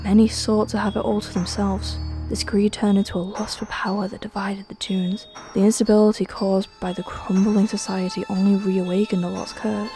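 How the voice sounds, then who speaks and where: A woman's voice: medium pitch, little pitch movement, normal speed, few pauses, dark tone. A woman narrating a scripted fantasy trailer in a solemn, dramatic storytelling manner. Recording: music behind the voice. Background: music.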